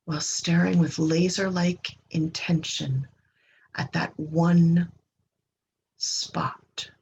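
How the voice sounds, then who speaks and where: A woman leading a guided meditation in a gentle, unhurried manner, leaving long pauses and trailing off breathily at the ends of phrases. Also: on the low side, little pitch movement. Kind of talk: guided meditation, slow soothing instruction. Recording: dull lo-fi recording with clear background noise.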